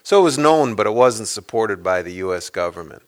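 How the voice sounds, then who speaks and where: A man's voice: fairly low pitch, expressive, brisk. A man, an author, speaking to an audience about his book in a loose, rambling way with many fillers and restarts. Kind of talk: casual lecture talk with audience Q&A.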